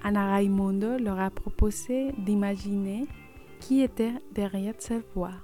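A woman's voice: medium pitch, steady tone, a little slow, dark tone. A woman radio host giving a scripted presentation of a programme. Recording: music behind the voice. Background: music.

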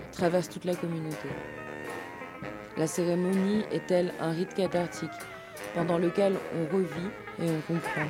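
A woman radio presenter reading a scripted documentary narration in a literary, storytelling way. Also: sits low. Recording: live radio show with music. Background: music.

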